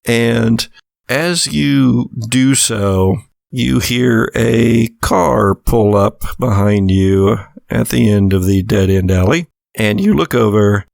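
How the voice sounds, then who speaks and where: A man's voice: mid-range voice, slow pace, creaky, a little mellow, dry recording. A man working as game master, narrating a horror tabletop game in improvised, conversational second person with some teasing.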